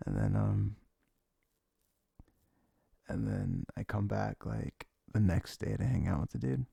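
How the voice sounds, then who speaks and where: A young man with a low, heavily creaky voice speaks close and intimate, as in casual boyfriend ASMR. His tone is dark and soft, often sinking toward a whisper. He rambles haltingly, unscripted, circling back on his words. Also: big pitch swings, dry recording. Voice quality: low, gravelly, breathy, often dropping near a whisper. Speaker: ASMR audio creator playing a comforting boyfriend.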